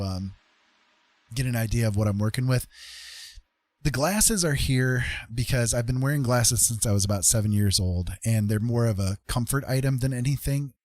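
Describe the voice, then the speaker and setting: A man's voice: medium pitch, dry recording. A man livestreaming in a casual, conversational way, explaining things to his viewers. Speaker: streamer.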